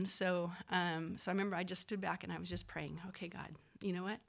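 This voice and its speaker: A woman, a physician assistant, telling a personal story in a casual, animated way, acting out the people she quotes, with lots of fillers. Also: fairly low pitch, a little fast, creaky.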